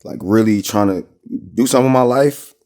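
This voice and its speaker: Male American rapper as interview guest, low register, informal slang, loose rambling delivery. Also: brisk, darkish tone. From Minnesota, United States. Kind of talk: casual interview chat.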